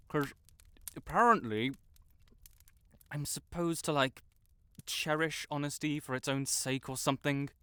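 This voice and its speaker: A man playing a proud, flustered young wizard in an intimate fantasy audio roleplay, halting and defensive yet earnest. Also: on the high side, a bit bright, no room sound. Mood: sheepish, affectionate. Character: proud, stuck-up young male wizard, flustered tsundere best friend in love.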